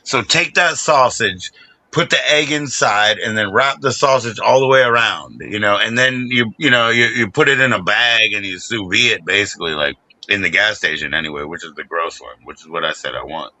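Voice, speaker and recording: A low-pitched man with a very bright, tense edge to his voice. He talks in a loose, laid-back way, dropping swear words and laughing often as he hosts a casual chat. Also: fairly quick, no room sound, poor audio.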